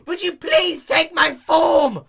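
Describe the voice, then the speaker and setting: A man voicing a brash, impatient young female pegasus pony in an amateur story reading, loud and exasperated. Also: extremely high pitch, slow pace, vocal fry, pitched above the performer's own voice, exaggerated voice.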